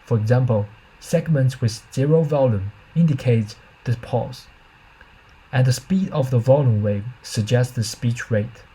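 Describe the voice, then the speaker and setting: A man's voice: medium pitch, unhurried, warm tone, husky, rough recording. A man reading a scripted academic presentation as a researcher, in a careful, formal manner with slight stumbles.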